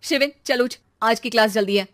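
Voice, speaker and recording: A woman voicing an exasperated, sharp-tongued Indian housewife and mother in a cartoon, nagging with sarcasm. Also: fairly high pitch, brisk, natural voice. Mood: fed up.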